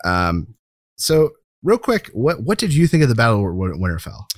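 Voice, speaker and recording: A man's voice: mid-range voice, wildly swooping pitch, normal speed, dry recording, studio quality. A man co-hosting a podcast, chatting casually and enthusiastically about a TV show. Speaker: podcast co-host.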